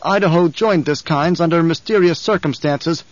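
Brief: a man voicing an edgy, drug-dulled captain who blurts out answers to his master, pitched up high. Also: dry recording, close to an everyday voice. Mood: anxious. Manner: eager, hurried, deferential. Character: nervous male guard captain, drug-dulled, flustered. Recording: dull, dry studio recording.

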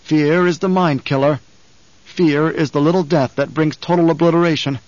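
A man voicing a young man who recites in a low, controlled manner to master his fear. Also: fairly even intonation, moderate pace, darkish tone, no echo, natural voice. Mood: steady. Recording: dull, dry studio recording.

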